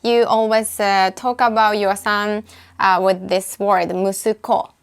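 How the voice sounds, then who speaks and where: Woman, Japanese accent, native Japanese speaker, relaxed walkthrough tutor, smooth clear voice, thinks aloud, frequent uh's. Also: mid-range voice, slow. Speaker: language tutor. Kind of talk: casual screen-recorded app walkthrough.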